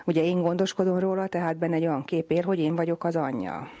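A woman's voice: fairly low pitch, warm tone, dry recording. A middle-aged woman, giving a personal account in an interview as a family carer.

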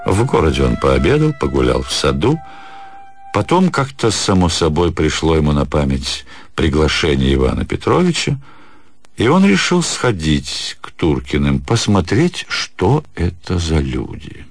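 A man narrating a literary story for a radio play, in a storyteller's expressive style. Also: a bass voice, unhurried, breathy edge, dry recording, faint music behind.

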